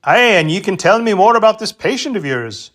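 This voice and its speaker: Country doctor, male, played by an actor: affable Scot, high voice, animated intonation. Period piece. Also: brisk. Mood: genial. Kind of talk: period audio drama acting.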